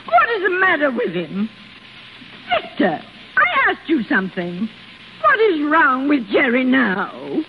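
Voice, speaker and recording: An older actress playing a querulous, imperious grandmother in a 1930s radio drama, halting and repeating her words. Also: high voice, huge pitch swings, heavily acted. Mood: querulous demanding. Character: fretful, imperious old grandmother and family matriarch. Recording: scratchy, narrow 1930s radio recording, faint noise.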